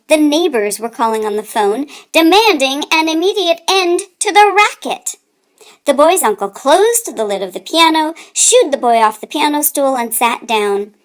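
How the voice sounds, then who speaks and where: Slow, high-pitched, animated woman storyteller. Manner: deliberate, dramatic emphasis. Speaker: storyteller reading a children's book aloud. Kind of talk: expressive read-aloud narration for children.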